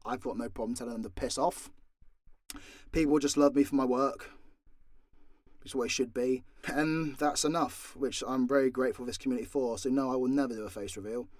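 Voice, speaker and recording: A man's voice: medium pitch, a little fast, a little mellow, dry recording, studio quality. A man with a southern English accent answering questions casually and off the cuff, blunt and joking, with frequent swearing. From southern Britain. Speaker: audio story creator and voice actor. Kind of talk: casual, unscripted Q&A.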